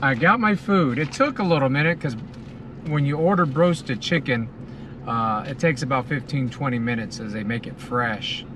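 A man's voice: medium pitch, normal speed. A middle-aged American man giving a casual, savoring food review to camera, talking between bites inside a car. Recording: car cabin with clear ambient noise.

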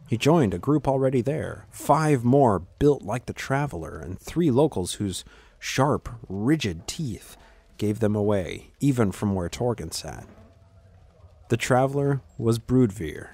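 A man narrating scripted fantasy fiction in a measured, dramatic storytelling manner with deliberate pauses. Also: medium pitch, lively intonation, gravelly, a little mellow, husky.

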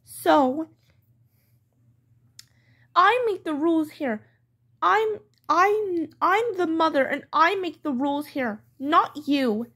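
A woman plays a strict, scolding mother in a homemade pretend-play skit. She has a very high, lively voice and speaks slowly. Her manner is firm and repetitive as she lays down the rules. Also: exaggerated voice.

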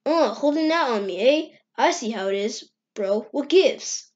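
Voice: mid-range voice, lively intonation, a little slow, pitched below the performer's own voice, natural voice. A performer voicing a cocky, teasing young male hedgehog with slangy talk in an amateur cartoon comic dub. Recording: dull, lo-fi screen-recorded sound.

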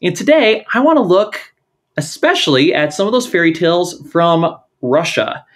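A man's voice: high voice, sing-song, normal speed. A man hosting an interview, reading a scripted intro and then chatting with his guest. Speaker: podcast host.

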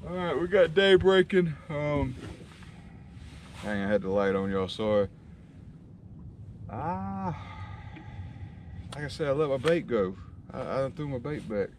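A drawling man talks casually while night fishing from a lakeside camp, worn out and ready for sleep. He banters with the fish, half mocking and half fond, in a folksy way. The outdoor recording is noisy, with lake ambience clear behind him. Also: mid-range voice, sing-song, measured pace, pauses often. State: tired and sleepy. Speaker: fishing vlogger. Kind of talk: casual running talk to camera while fishing.

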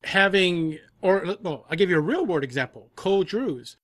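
Higher-pitched man chatting as guest. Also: lively intonation, normal speed, a bit bright, no room sound, clean studio sound. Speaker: audio drama producer, podcast guest. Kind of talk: casual interview chat.

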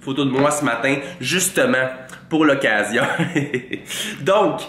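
A man's voice: medium pitch, animated, slow pace, fairly bright tone, roomy sound. A man in his twenties with a Québécois French accent, congested from a cold, giving a playful, mock-boastful tutorial to camera in a small room on a large studio mic. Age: 20s. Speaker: fitness vlogger. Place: small room with a green-lit wall. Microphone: large studio mic in front.

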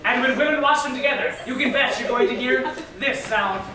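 Young woman playing brisk scientist, very echoey stage. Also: sits high, lightly acted. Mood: brisk lecturing. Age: young adult. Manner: declarative, projected stage speech. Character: brisk, authoritative scientist presenting rules of romance. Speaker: actor in a stage comedy. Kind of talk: theatrical comic lecture delivery. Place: large indoor theatre stage. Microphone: far camera. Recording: echoey theatre, distant mic, noisy.

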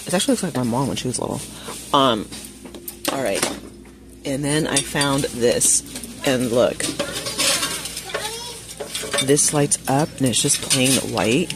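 A woman vlogging as she shops in a big home goods store, chatting casually to viewers with frequent gasps and excited exclamations. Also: extremely low pitch, measured pace, pauses often, background noise. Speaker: shopping vlogger. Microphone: phone camera held while shopping.

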